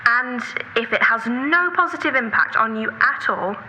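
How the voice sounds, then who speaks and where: A woman plays an earnest sister in an audio drama, with a very bright, forward voice that sits a little high. She is orderly and nagging, pressing her point with exasperated care. There is faint noise behind her. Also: animated, moderate pace, rough recording. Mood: pleading. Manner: persistent, pressing, lecturing. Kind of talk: naturalistic audio drama dialogue.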